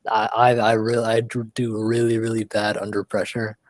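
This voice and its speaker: A man's voice: on the low side, monotone, a little fast, dry recording. A man hosting a livestream, chatting casually and rambling with lots of fillers, sometimes dropping to a near whisper. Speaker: livestream host. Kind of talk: casual chat.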